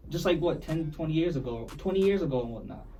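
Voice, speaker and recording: A man's voice: fairly high pitch, expressive, fast talker, mellow, recorded from a distance, faint music behind. A man with a slight New York accent on a podcast panel, speaking in an easygoing, reflective way with lots of fillers. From born overseas, raised in the US. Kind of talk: casual panel discussion.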